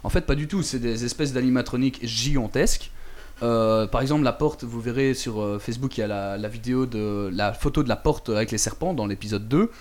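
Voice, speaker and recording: A man with a middle-pitched voice talks in a loose, casual way. He stumbles over his words, repeats himself and fills pauses with hesitations. He chuckles often as he tells a story. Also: darkish tone. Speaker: radio show contributor recounting a trip. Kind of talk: casual chat.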